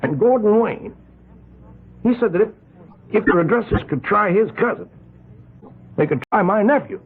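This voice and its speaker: A gravelly, agitated rich older vigilante. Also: male voice, high voice, animated, exaggerated voice. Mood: harried. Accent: American. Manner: halting, emphatic, self-justifying. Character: agitated wealthy older man leading a vigilante committee. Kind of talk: old-time radio drama acting. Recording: muffled, narrow-band old radio recording.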